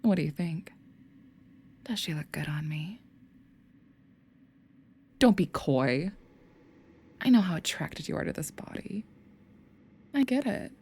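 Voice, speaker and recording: Woman acting a low, breathy, taunting demoness. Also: animated, a little mellow, heavily acted. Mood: seductive teasing. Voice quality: breathy voice slipping into whispers. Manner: mocking, teasing, drawn-out phrasing. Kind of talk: ASMR audio roleplay.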